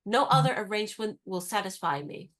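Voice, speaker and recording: An actress playing a spirited, independent young woman in a radio play, speaking in a crisp, teasing and firmly insistent way. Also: mid-range voice, animated, fairly quick, a bit bright, natural voice. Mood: determined.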